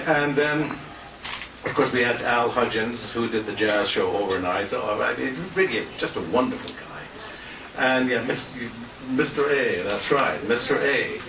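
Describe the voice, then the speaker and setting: An older man gives a loose, anecdotal after-dinner tribute, wandering and pausing as he goes. His voice sits at an ordinary pitch, picked up from far off by a small camera in a noisy room. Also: reverberant. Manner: halting, rambling, anecdotal. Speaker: retired radio announcer giving a tribute speech. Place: restaurant banquet room. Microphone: handheld compact camera at a distance.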